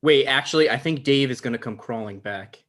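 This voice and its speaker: A man's voice: medium pitch, brisk, a bit bright, close to an everyday voice. A man playing a needy, starstruck comedy show creator in a table read, in an earnest conversational way. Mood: eager.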